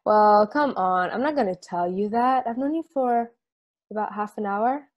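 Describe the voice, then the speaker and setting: A woman's voice: medium pitch, moderate pace, dull audio, close to an everyday voice. A young woman playing a casual, guarded traveler chatting at a bar, in an online table read of a stage play. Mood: wary, relaxed. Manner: casual, plainspoken. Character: plainspoken, guarded female traveler, easygoing bar customer. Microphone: video call microphone.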